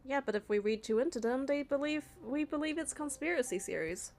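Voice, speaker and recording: A woman's voice: sits high, lively intonation, a little fast, a little mellow, a little hiss or hum. A young woman narrating a game playthrough, reading the story and the player character's part in a casual way. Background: music.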